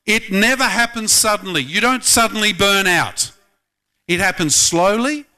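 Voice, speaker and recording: High, bright-voiced pastor preaching. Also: male voice, normal speed, clear, resonant tone. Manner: conversational, asks the congregation many questions. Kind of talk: sermon.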